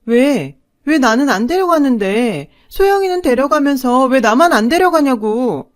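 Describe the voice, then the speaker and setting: A distraught young girl in a narrated story, pitched high and pressing her questions loudly, as the woman telling it puts her on. She protests and pleads in raised, insistent phrases. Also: very animated, a little slow. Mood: pleading, upset.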